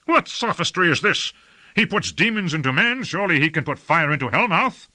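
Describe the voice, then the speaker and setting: A man's voice: fairly high pitch, lively intonation, moderate pace, voice pushed up. A man voicing an imperious, hot-tempered lord in an audiobook, harsh and urgent as he gives commands. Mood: angry, demanding. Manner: urgent, peremptory, shouting commands.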